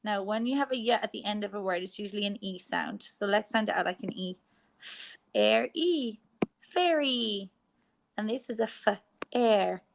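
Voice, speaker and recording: An Irish woman teacher giving a phonics lesson to young children, sounding out letters slowly and clearly. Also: medium pitch, slight background noise. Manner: slow, exaggerated sounding out of letters.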